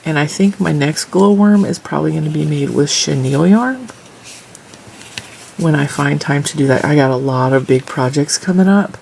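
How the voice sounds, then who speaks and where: A woman with a stuffy, congested nose talks casually while she crochets and counts off her stitches and rows. Her voice has a gravelly fry, and a faint hiss of noise sits behind it. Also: deep voice, normal speed, darkish tone, rough recording. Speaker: crochet tutorial host. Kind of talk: casual crochet tutorial with chat.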